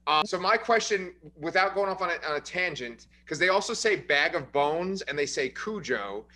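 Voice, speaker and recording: A man's voice: fairly high pitch, expressive, moderate pace, pushed and bright. A man hosting a casual movie review podcast, upbeat and chatty, recapping the plot with frequent fillers. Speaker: podcast host.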